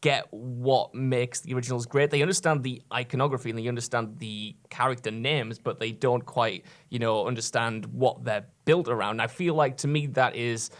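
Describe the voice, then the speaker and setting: A man's voice: medium pitch, fairly even intonation, moderate pace, few pauses. A man on a podcast panel, talking casually and explaining his points at length, sometimes with mock quotes.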